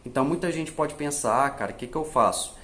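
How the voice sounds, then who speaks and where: A man's voice: medium pitch, fairly bright tone. A young Brazilian man vlogging language-learning tips in a chatty, casual way, speaking English with a Brazilian accent in parts. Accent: Brazilian Portuguese. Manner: chatty, fillers like né and tá, switches to slow careful English. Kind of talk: casual direct-to-camera tips.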